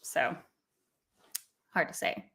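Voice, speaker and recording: A woman with a bright, forward voice hosts a casual chat about books. She rambles, with plenty of fillers and restarts. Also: slow pace. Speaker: booktube host. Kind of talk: casual book discussion.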